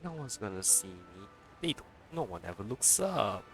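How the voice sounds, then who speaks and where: A man's voice: fairly low pitch, big pitch swings, moderate pace. A man giving casual let's play commentary as he streams a video game. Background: game music.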